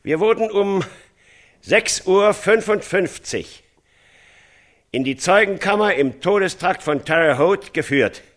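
A reporter at a press podium in a radio drama. He recites his account solemnly and carefully, in a fairly high voice with lively swings of pitch. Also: takes it slowly, full character voice.

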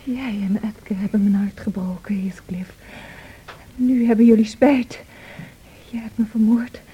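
An actress playing a frail, passionate dying young woman in a Dutch radio drama, with a breathy, languishing and theatrical delivery. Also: fairly high pitch, fairly even intonation, measured pace, mellow, lo-fi sound. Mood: reproachful. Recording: noisy old radio play recording.

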